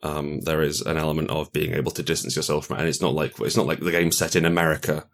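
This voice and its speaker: A man's voice: a bass voice, level tone, fast. A man chatting casually as a guest game designer, often restarting his phrases as he thinks aloud. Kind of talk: casual chat about role-playing games.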